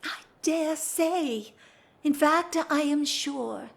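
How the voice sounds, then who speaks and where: Restless society wife, period audio play. Very high voice, unhurried delivery, candid and wryly dramatic. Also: female voice, creaky, exaggerated voice. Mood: wry complaint. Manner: theatrical, wry, drawling with emphatic swells. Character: restless, bored aristocratic wife confessing candidly. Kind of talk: period drawing-room audio drama acting.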